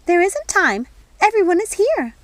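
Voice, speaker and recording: Prim bossy storybook fairy godmother, high squealy voice, scolds and fusses, dry close sound. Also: very animated, unhurried, no echo, exaggerated voice. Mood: impatient. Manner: squealing, chiding, effusive. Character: fussy, prim, bossy fairy godmother, easily vexed sister. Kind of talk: audiobook character voice.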